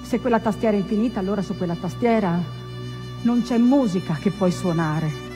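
A woman acting a wistful, intense ship's pianist's tale as a theatrical monologue, deliberate and emphatic, with dramatic pauses. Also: medium pitch, natural voice. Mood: intense, anguished. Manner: deliberate, emphatic, repeating phrases. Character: male ocean-liner pianist and his storytelling friend, wistful, intense. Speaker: stage actress. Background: instrumental music.

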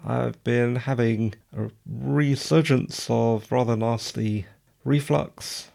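Croaky man hosting a podcast. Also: mid-range voice, steady tone, slow, dark tone. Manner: chatty, digressive, self-deprecating. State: slightly croaky voice. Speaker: podcast host. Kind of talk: casual solo podcast talk.

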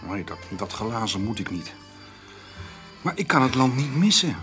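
An actor playing a hesitant, stubborn farmer in a radio play, halting and doubtful. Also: male voice, mid-range voice, very animated, sharp tone, soft background music. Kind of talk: naturalistic radio play dialogue.

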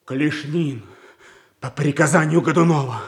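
An actor playing a frightened merchant, agitated and imploring, in a theatrical radio play. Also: male voice, a little high, a little slow, natural voice. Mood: alarmed. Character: frightened, pleading merchant bringing bad news.

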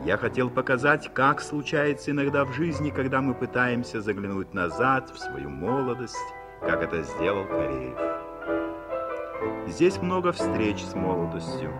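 A man reading an author's note and stage directions for a radio play in a measured, formal manner. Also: medium pitch, lively intonation. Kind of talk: scripted narration. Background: music.

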